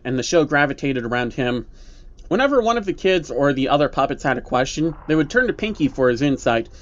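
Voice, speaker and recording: A man narrating a scripted story in a casual, sardonic reviewer's manner. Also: a little high. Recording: dull lo-fi recording with faint noise.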